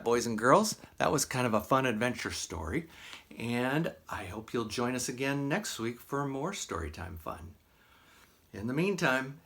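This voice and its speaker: Animated man reading a children's story. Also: mid-range voice. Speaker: library storytime host and reader. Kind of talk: expressive read-aloud storytelling for children.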